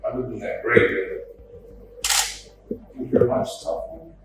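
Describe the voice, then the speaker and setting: A man from Palestine giving a heated, rambling statement in court, full of slang, his voice jumping up in pitch. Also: measured pace. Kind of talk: emotional victim impact statement in court. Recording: echoey courtroom from a distance, music underneath.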